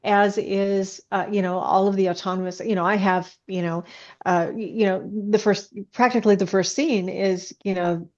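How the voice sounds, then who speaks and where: A woman guest author chatting casually in an interview, haltingly, often thinking aloud as she explains. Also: mid-range voice, fairly even intonation, a little mellow, lo-fi sound. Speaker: guest science fiction author.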